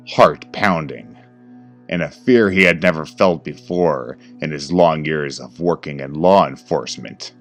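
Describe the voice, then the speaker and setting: Very deep-voiced male horror narrator. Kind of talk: scripted horror story narration. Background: faint music.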